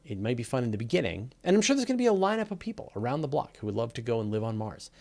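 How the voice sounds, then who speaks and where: A man's voice: mid-range voice, sing-song, rapid-fire, gravelly, a little mellow. A man hosting a live question and answer show, talking off the cuff in a casual, emphatic, opinionated way and repeating phrases for stress. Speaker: host of a live space and astronomy Q&A. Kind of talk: casual off-the-cuff explanatory talk.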